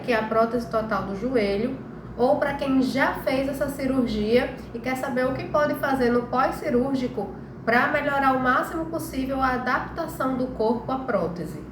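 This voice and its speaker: Brazilian woman, stuffy nose from flu, conversational health host, frequent check-in tags, roomy echo, clear background noise. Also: high voice. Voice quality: slightly nasal from a cold. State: congested, recovering from flu. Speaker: live stream host giving health advice. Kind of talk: informal explanatory live talk.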